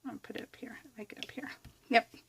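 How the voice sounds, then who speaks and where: A woman with a fairly high voice narrates her crafting in real time. She is chatty and informal, giggly and quick to poke fun at herself, and her talk rambles along loosely. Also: fairly even intonation, breathy edge, in a whisper. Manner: giggly, self-deprecating, rambling. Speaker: craft vlogger.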